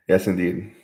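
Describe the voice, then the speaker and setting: A man's voice: deep voice, mellow, roomy sound. A man from Jamaica hosting a live stream, reading viewer comments aloud and answering them in casual chat.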